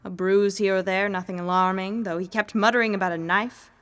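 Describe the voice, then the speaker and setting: Police chief for radio serial, female actor: mid-pitched, composed, businesslike, in a reverberant space. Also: steady tone, a little fast, fairly bright tone, clear, resonant tone, lightly acted. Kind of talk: old-time radio drama acting. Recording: long reverb.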